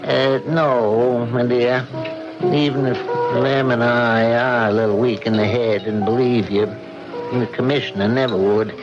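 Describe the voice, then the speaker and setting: An actor in an old-time radio drama playing a cautious, disapproving husband. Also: male voice, mid-range voice, fairly even intonation, measured pace, over music, natural voice. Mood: measured. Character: cautious, disapproving husband of amateur woman sleuth. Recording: muffled lo-fi radio broadcast.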